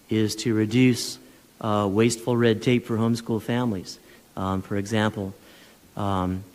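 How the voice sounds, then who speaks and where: A man testifying at a legislative hearing, speaking in a measured, persuasive way with small restarts. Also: on the low side. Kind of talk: formal testimony. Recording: long reverb of a large hall.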